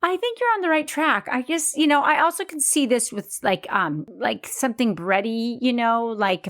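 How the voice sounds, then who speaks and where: A woman's voice: a little high, expressive, normal speed, a bit bright. A woman co-hosting a podcast, chatting casually and playfully while tasting wine. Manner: chatty, playful, laughs easily. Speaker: podcast co-host.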